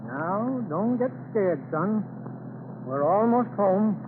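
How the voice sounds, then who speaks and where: An actor playing a steady, worried farmer father in an old-time radio drama, reassuring his family through hard times. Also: high voice, takes it slowly. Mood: urgent, steadying. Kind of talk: 1940s radio drama acting. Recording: scratchy tinny old radio recording.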